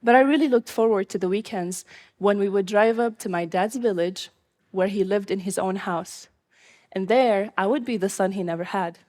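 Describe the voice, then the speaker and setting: A young woman from Syria telling her own life story live, in an earnest, measured way. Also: medium pitch, darkish tone.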